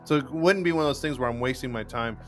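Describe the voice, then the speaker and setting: Man, 40s, podcast co-host. Excitable and stammery, mid-pitched voice, faint music underneath. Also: quick pace. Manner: excitable, stammers and repeats words, gets loud when laughing. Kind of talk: casual live podcast chat.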